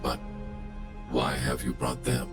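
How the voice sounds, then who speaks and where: Extremely deep, flat-toned robot guardian. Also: male voice, slow, music in the background. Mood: stern, impassive. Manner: formal, impassive. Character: huge, stern, calculating male robot guardian. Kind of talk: video game voice acting.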